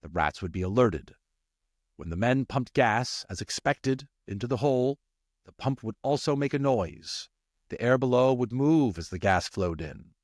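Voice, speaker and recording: A man's voice: medium pitch, animated, moderate pace, dry recording, clean studio sound. A man narrating a story expressively, as an audiobook reader.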